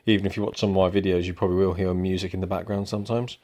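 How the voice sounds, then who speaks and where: A young man giving a relaxed, rambling product review at home, with lots of ums. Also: sits low, racing. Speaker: motorcycle vlogger. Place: indoors at home.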